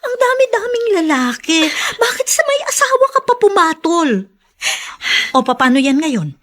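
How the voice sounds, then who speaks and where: A woman's voice: very high-pitched, very animated, normal speed, a bit bright, heavily acted. A woman playing a blunt, exasperated older sister, a busy professional, in a conversational radio drama style. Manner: scolding, sighing, conversational. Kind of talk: Tagalog radio drama acting.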